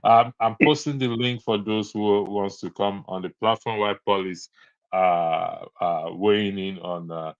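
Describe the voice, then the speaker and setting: Halting male moderator on a dry, dull line. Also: on the low side, fairly even intonation, dry recording. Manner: halting, filler-heavy handovers. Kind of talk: online panel moderation. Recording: dry, dull lo-fi online audio.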